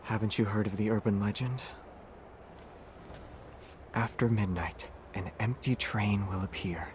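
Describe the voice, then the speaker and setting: A man playing a calm young monster hunter in a video game, teasing in an understated, deadpan way. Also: lowish voice, measured pace, noisy background, close to an everyday voice.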